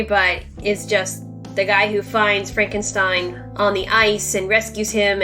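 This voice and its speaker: A woman's voice: mid-range voice, a bit bright. A woman hosting a book review, narrating in a conversational, semi-scripted way with emphatic, informal asides. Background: music under the voice.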